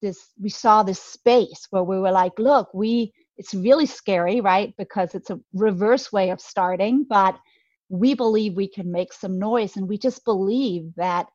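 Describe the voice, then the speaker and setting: A woman's voice: medium pitch, measured pace, darkish tone, no echo, muffled recording. A woman from Denmark with a Danish accent speaks as a podcast guest, conversational and enthusiastic, thoughtfully searching for her words. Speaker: guest, beauty brand co-founder.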